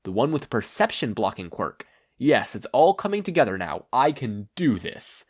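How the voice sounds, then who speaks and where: Man acting supervillain mastermind, panicky denial, stammering, self-reassuring, very animated pitch, storybook reading. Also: moderate pace, husky. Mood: nervous scheming.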